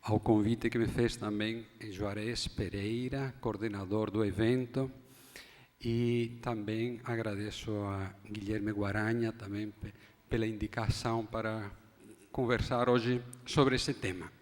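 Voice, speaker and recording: A Spanish-born man with a Spanish accent, an academic speaker giving a measured, halting forum talk. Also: fairly low pitch, fairly even intonation. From Spain. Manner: measured, halting, restarts phrases. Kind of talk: formal lecture talk. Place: conference hall. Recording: long hall reverb.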